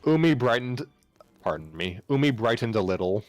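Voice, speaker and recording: A man's voice: medium pitch, big pitch swings, normal speed, dry recording, soft background music. A man narrating fan fiction aloud as an amateur reader, sometimes stumbling and rereading phrases. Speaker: story narrator.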